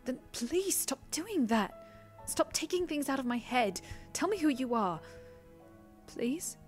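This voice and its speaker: Audio drama young dragon, female actor: shy, anxious, polite, halting, on the higher side. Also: very animated, soft background music. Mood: pleading. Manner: timid, hesitant, polite.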